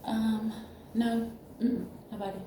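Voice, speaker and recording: A woman in her thirties speaks haltingly and anxiously, as if opening up to a counselor. Her voice is mellow and dark. The recording is very echoey, with faint background noise. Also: on the high side, flat delivery. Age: 30s. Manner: halting, anxious, searching for words. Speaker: counseling client in a role-play. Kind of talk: emotional personal disclosure.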